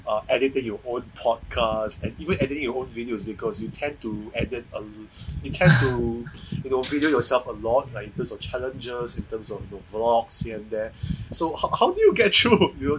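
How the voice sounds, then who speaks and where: Podcast host, 20s, male, Singaporean English, chatty and rambling, recorded with clear background hiss. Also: medium pitch. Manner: chatty, frequent restarts and fillers. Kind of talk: casual video-call chat. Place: home room with shelves. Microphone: earphone mic on video call.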